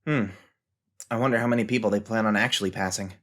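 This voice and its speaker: A man's voice: lowish voice, steady tone, fast talker, a bit bright. Man acting a self-doubting nerdy teenage boy. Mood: thoughtful, self-doubting. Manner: earnest, reasoning things out. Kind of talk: fan audio drama acting.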